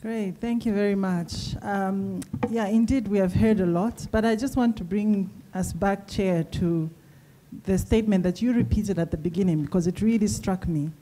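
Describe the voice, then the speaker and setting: A woman's voice: mid-range voice, moderate pace, dark tone, slight background noise, rough recording. A woman panelist answering the chair, composed and reflective. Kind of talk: panel discussion.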